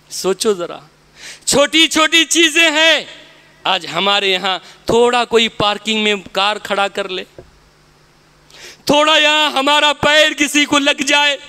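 Indian-accented imam, man in his thirties, very high bright voice, smooth and clear; impassioned Friday sermon, headset mic. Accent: Indian Urdu. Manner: impassioned, swings between soft low asides and loud high exhortations; repeats his refrains to the congregation, gestures while preaching. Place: mosque, indoors, tiled wall behind. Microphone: headset mic and stand mic.

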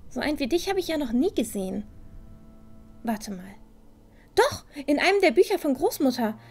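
A fairly high-voiced, curious young girl acted by a woman in a fantasy audio drama. She is an adventurous villager who mutters and wonders aloud, with soft music behind her. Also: very animated, moderate pace. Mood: startled, amused.

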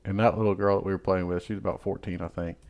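A man's voice: low-pitched, fairly even intonation, racing, muffled recording, a little hiss or hum. A man hosting a casual podcast chat in an easygoing, teasing way. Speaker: podcast host. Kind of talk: casual chat about golf.

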